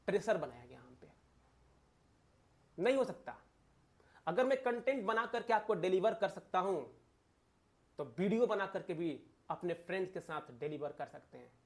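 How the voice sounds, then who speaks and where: High-pitched man teaching emphatically. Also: animated, moderate pace, pauses often. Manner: emphatic, repeats key phrases, asks rhetorical questions. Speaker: exam coaching teacher and channel host. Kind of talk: informal exam-analysis lecture addressed to viewers.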